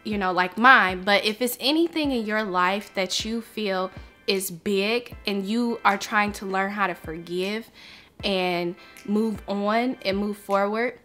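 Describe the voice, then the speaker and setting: A young woman speaks slowly and earnestly to her viewers, taking her time over each thought. Her voice has a bright, clear edge, and faint music plays underneath. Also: medium pitch. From United States. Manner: earnest, reflective, slow and heartfelt. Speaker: vlogger. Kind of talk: personal vlog talk to camera.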